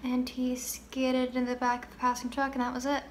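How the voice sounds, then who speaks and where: Young woman doing a wistful girl telling about her brother, high voice, pauses, faint noise. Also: completely flat delivery, lightly acted. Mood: matter-of-fact, wistful. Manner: conversational, wistful storytelling with pauses. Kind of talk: dramatic monologue.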